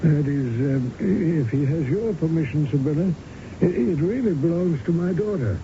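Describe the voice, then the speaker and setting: A genial, sardonic old man from a vintage radio drama, with a fairly high voice and a hesitant, pompous delivery full of throat-clearing. The recording is muffled, with clear background noise. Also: lively intonation, normal speed, mellow. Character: ailing old American art-collecting husband, genial yet sardonic. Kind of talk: 1970s radio drama acting.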